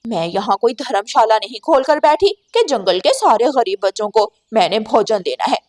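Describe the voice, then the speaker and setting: A woman's voice: a little high, lively intonation, moderate pace, a little mellow, natural voice. A woman voicing a heartless, angry female cartoon bird who scolds harshly and with contempt. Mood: angry, scornful.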